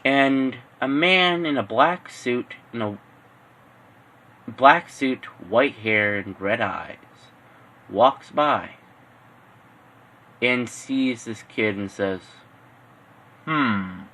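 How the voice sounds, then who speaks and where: A male storyteller improvising fan fiction. He takes it slowly and haltingly, rephrasing as he goes, with a bright, forceful voice at an average pitch.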